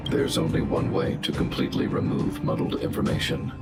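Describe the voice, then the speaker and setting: An actor playing a stern, logical giant guardian robot in a video game, speaking in formal, measured, clinical phrases with robotic processing. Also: male voice. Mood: clinical, matter-of-fact. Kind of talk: video game character dialogue. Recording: loud game music underneath. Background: loud music.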